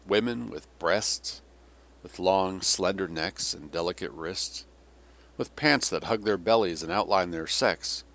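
A man's voice: on the low side, expressive, normal speed, pushed and bright. A man reading an audiobook as a weary, vulgar old Russian general, sardonic and theatrical, given to sneering rants. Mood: weary, wistful. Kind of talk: dramatic audiobook character reading.

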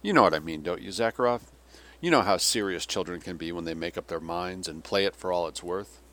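A man's voice: lowish voice, animated, moderate pace, sharp tone. A man reading an audiobook as a weary, vulgar old Russian general, sardonic and theatrical, given to sneering rants. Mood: weary, wistful. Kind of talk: dramatic audiobook character reading.

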